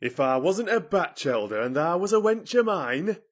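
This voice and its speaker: Guy doing a cranky old yorkshire gardener, scolding and blustering, swoops up loud, bit raspy, audiobook style. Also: a little high, sing-song, normal speed, pitched above the performer's own voice. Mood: indignant scolding. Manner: haranguing, loud and outraged.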